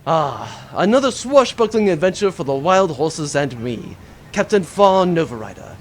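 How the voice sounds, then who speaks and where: A man's voice: a little high, very animated, normal speed. A man playing a melodramatic crew member of a spaceship in a comedic audio drama. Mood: excited, melodramatic.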